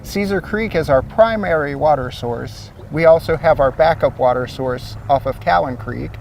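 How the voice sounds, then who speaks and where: An easygoing man with a slightly high voice explains things step by step and leans on small fillers. He is recorded outdoors by a lake, a little away from the mic, with faint noise behind him. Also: normal speed, gravelly, distant mic, low-quality recording. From Ohio. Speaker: source water protection coordinator, guest. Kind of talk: explanatory interview answers.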